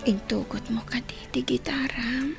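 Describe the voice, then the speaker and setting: A woman's voice: a little high, steady tone, normal speed, gravelly, over music. A woman playing a frail, wistful ailing wife in a radio drama, speaking in a weak whisper.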